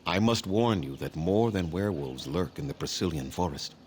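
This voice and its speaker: An actor playing a grave, weary elven clan leader in a fantasy video game, speaking formally and solemnly in measured phrases. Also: male voice, deep voice, animated, darkish tone, slight background noise. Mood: solemn warning. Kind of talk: scripted video game dialogue.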